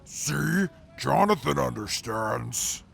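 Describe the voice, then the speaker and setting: A man playing a stiff, logical intellectual who speaks formally and deadpan in a post-apocalyptic audio drama. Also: medium pitch, slow pace, gravelly, heavily acted. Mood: coolly reasoning. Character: Atlas, stiff logical field interviewer, amoral intellectual.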